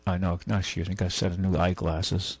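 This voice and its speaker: Gravelly, low-voiced male radio host. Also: level tone. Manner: halting, rambling delivery. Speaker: radio call-in show host. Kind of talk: casual talk radio, reading news and chatting. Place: radio studio. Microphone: close studio microphone.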